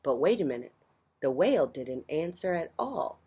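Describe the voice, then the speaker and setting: A woman hosting a children's story time, reading picture books aloud in a theatrical, emphatic way with swells and hushes. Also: low voice, sing-song, normal speed, slightly rough. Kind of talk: expressive picture-book read-aloud. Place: outdoors on a porch.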